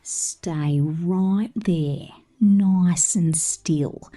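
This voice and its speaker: A woman performing an ASMR roleplay as a possessive, teasing space mechanic with a condescending maternal streak, speaking softly and often slipping into breathy whispers. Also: on the low side, drawn-out words.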